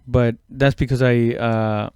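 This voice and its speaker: A man chatting casually and haltingly as a comedian on his own podcast, swearing freely. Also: medium pitch, steady tone, darkish tone, clean tone, no echo, studio quality. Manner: informal, halting, heavy swearing. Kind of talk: casual rambling solo chat.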